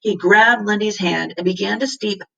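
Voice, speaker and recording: Woman teacher reading a kids adventure story out loud, dramatic, bit of creak, mic kinda far away. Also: medium pitch, moderate pace, highs cut off. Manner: dramatic, acts out the characters' lines. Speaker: teacher reading aloud to her class. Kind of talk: expressive children's story read-aloud.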